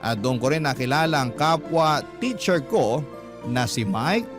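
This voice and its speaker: A man's voice: mid-range voice, animated, unhurried. A man with a Filipino accent reading a love story in the first person as a radio storyteller. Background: prominent instrumental music.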